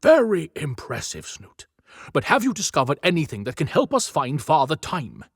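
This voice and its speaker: Stern commanding older leader, acted by a man, gruff, authoritative, dry studio sound, audiobook drama. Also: fairly high pitch, huge pitch swings, moderate pace, dry recording, pitched above the performer's own voice, full character voice. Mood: businesslike. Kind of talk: cinematic audiobook acting.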